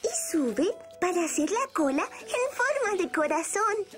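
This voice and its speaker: Squeaky, excitable little ladybug. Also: measured pace, soft background music, heavily acted. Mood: curious, delighted. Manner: squeaky, eager, questioning. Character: tiny excitable, curious ladybug friend. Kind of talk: children's cartoon dub.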